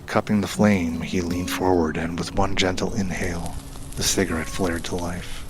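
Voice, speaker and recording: Deep-voiced man narrating an audio drama. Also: low-quality recording. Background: background music.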